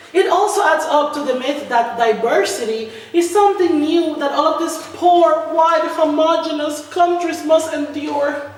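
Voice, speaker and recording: Woman doing emphatic sarcastic spoken word, high voice, super echoey, mic kinda far away. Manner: emphatic, sarcastic, repetitive refrains. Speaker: writer reading own spoken-word text. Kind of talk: spoken-word political manifesto reading. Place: large reverberant indoor art venue. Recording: echoey hall from far off, faint noise.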